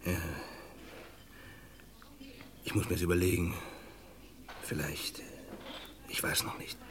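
An actor playing a shaken, indecisive gentleman in a radio crime play, speaking with hesitant, agitated formality. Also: sits low, little pitch movement, slow pace, a little hiss or hum. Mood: hesitant, uneasy. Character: shaken, indecisive gentleman burdened with a killer's secret.

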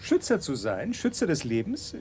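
A man's voice: a little high, huge pitch swings, normal speed, a little mellow, a little hiss or hum. A man playing an unctuous colony scientist in a German science fiction radio play, speaking with theatrical reverence. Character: unctuous male colony scientist praising his bee queen.

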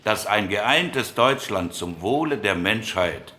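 A man's voice: on the low side, animated, takes it slowly, sharp tone, exaggerated voice. A man playing a precise, pedantic committee member in post-war Germany, debating formally and insistently in a radio docudrama. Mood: critical, exacting.

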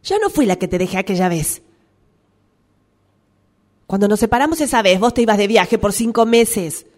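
A woman's voice: medium pitch, expressive, normal speed, a little mellow, close to an everyday voice. A woman playing an exasperated, defensive girlfriend on the phone in a radio drama, with an Argentine Spanish accent. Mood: hurt, fed up. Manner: indignant, argumentative. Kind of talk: radio drama acting, one side of a phone call.

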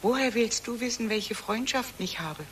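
Woman playing snippy middle-aged daughter in radio drama, highish voice, bit of background noise. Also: moderate pace, close to an everyday voice. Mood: defensive. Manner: snappy, haughty, defensive.

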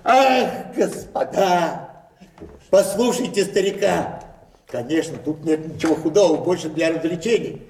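High-voiced, moralizing old gentleman. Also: lively intonation, a little slow, vocal fry. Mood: gently admonishing. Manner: effusive, preachy, deferential. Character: genial, moralizing old gentleman, sentimental family man. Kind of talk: theatrical stage comedy acting. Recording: roomy, distant mic, faint noise.

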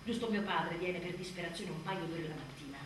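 A woman's voice: fairly low pitch, a little fast, lightly acted. An actress playing an exhausted, exasperated new mother in a bitterly funny, dramatic confessional stage monologue. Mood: weary. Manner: dramatic, sardonic, swinging from muttered to shouted. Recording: roomy distant theatre sound, faint noise.

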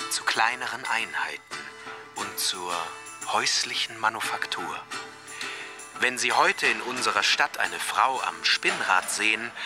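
An actor playing a smug tour-guide voice in a radio drama, speaking formally and pompously with a cool threat beneath it. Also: male voice, mid-range voice. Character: smug, menacing male tour-guide voice on audio tape. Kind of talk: scripted propaganda narration in radio drama. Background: music.

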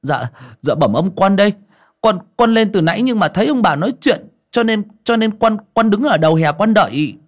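A man's voice: high voice, expressive, normal speed, voice pushed up. A man voicing a timid young servant boy who stammers and bows. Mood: meek. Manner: meek, stammering, bowing.

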